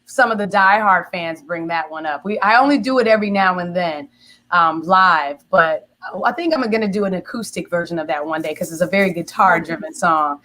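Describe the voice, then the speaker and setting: A woman in her forties with a smoky, raspy voice, a singer-songwriter chatting casually in an interview and telling animated stories. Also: lowish voice, brisk, a little hiss or hum. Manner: animated storyteller, laughs easily, acts out quoted friends.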